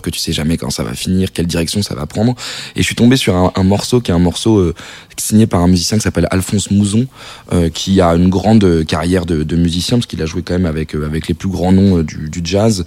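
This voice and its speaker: A man's voice: low voice, fast, darkish tone, no room sound. A man visiting a radio studio as a guest, chatting with enthusiasm and slang. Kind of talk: casual radio chat.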